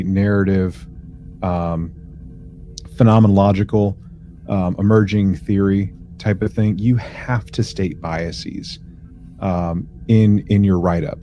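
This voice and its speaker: A man co-hosting a podcast, talking casually and explaining things in a measured way with pauses. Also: low voice, dark tone, a little hiss or hum. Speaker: podcast co-host. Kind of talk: casual discussion.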